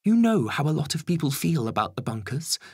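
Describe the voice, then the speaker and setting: A man's voice: fairly high pitch, very animated, brisk, slightly rough, no echo, studio quality, pitched above the performer's own voice. A man voicing a cold, pragmatic Home Secretary who argues in an insistent, calculating way in a dramatic story. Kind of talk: acted dialogue in a dramatic story reading.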